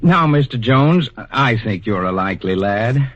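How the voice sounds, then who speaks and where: A low husky superintendent type, patient, officious, swoopy intonation, muffled old radio drama. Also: male voice, animated, a bit bright. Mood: patient, official. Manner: measured, officious, hesitant. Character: patient, kindly, bureaucratic male superintendent of the afterlife. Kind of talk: 1940s radio acting.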